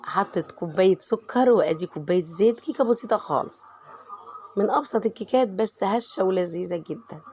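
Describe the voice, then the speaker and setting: A middle-aged woman with an Egyptian Arabic accent, hoarse from a cold, chatting warmly to viewers as she narrates her home cooking. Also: mid-range voice, lively intonation, fast, slight background noise. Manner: warm, chatty, talks straight to viewers. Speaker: home vlogger and mother.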